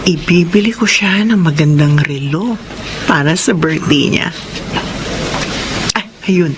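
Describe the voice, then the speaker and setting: A woman's voice: lowish voice, slow pace, noisy background. An actress playing a devoted, playful young woman who gets easily flustered, in a Tagalog love story drama. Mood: cheerful. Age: young adult. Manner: expressive, with teasing and hesitant stammers.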